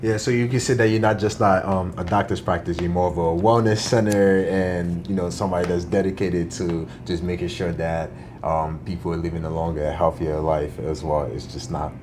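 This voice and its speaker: A man hosting an interview podcast, talking in a relaxed way with plenty of "you know" and "um". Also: low voice, brisk, background noise. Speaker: podcast host. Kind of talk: casual interview chat.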